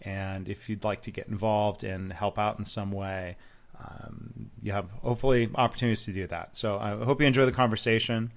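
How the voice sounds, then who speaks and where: A man hosting a podcast interview and reading his own promos, relaxed, with frequent ums and uhs. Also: lowish voice, normal speed, gravelly. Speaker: podcast host.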